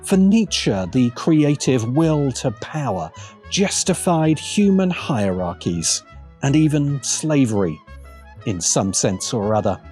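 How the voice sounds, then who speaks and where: A man narrating a scripted video essay in a deliberate, emphatic manner with pointed pauses. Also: mid-range voice, lively intonation, darkish tone, soft background music.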